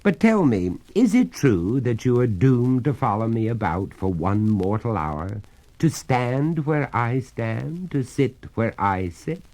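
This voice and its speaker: Man acting crisp, courteous young gentleman, raised pitch. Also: sing-song. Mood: courteous, confident. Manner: polite, brisk, firm, theatrical. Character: courteous, resolute young gentleman heir of a haunted hall.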